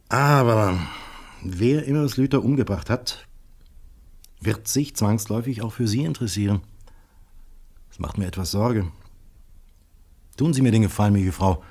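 An actor playing a calm, fatherly, probing police official in a radio crime play, speaking in a measured, hesitant way. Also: fairly low pitch, slightly rough. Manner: measured, hesitant, deliberate, with thoughtful murmurs. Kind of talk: 1970s radio play dialogue.

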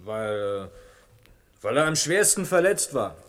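An actor playing an evasive, uneasy defendant in a radio play, hesitant and defensive. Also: male voice, mid-range voice, very animated, slow, fairly bright tone. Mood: defensive, nervous. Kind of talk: German radio play acting. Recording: long reverb of a hall.

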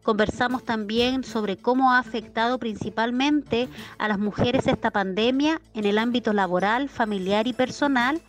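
Slightly high-voiced Chilean woman, informing. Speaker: municipal programme coordinator. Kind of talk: prepared informational statement. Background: faint music.

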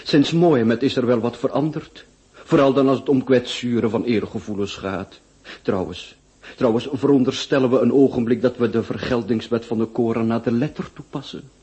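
A man's voice: mid-range voice, moderate pace, dark tone, breathy edge. An actor with a Flemish accent playing a cautious, placating Ottoman embassy official in a radio play, reasoning and hesitant. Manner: reasoning, hesitant, repeating words. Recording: dull lo-fi radio recording.

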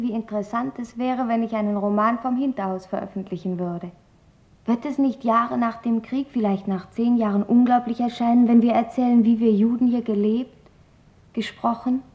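A dull, muffled recording carries a woman as an earnest teenage girl diarist. Her fairly high voice is intimate and youthful, full of wonder, as she confides in her diary in a radio drama. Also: steady tone, normal speed, lightly acted.

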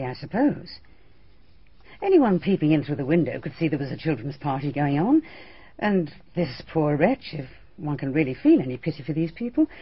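Prim english lady host, husky, lively up and down, dull old radio. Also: medium pitch. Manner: crisp, emphatic, slightly indignant. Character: brisk middle-aged English hostess, proper and defensive. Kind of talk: BBC radio drama acting. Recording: dull archival radio recording.

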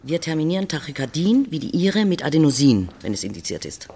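A man playing a brisk, blunt hospital doctor in an audio drama, clinical and patronising in his way of talking. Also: a little high, sing-song, a little mellow, a little hiss or hum. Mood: brisk, matter-of-fact. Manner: clipped clinical jargon, patronising.

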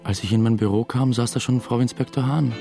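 An actor playing an uneasy, wry first-person narrator in a radio drama. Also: male voice, medium pitch, fairly even intonation, fairly quick, vocal fry, over music, natural voice. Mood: matter-of-fact. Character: uneasy, wry male narrator, a jealous hapless suspect.

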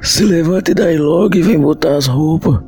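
A man plays a stern old farmer father in an audio drama, ordering and grumbling in a rural Brazilian accent. The voice is fairly high and soft-edged, over music. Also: moderate pace, slightly rough. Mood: gruff scolding. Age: older.

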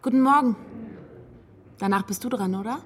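An actress playing a casual, forthright young doctoral student in naturalistic radio drama, offhand and direct. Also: female voice, fairly high pitch, normal speed, a little hiss or hum, lightly acted. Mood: casual, curious.

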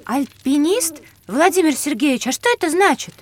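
Animated, high-voiced little girl. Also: moderate pace, a little hiss or hum. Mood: inquisitive. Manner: questioning, polite. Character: bright inquisitive little girl, polite and quick. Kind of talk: children's audio drama.

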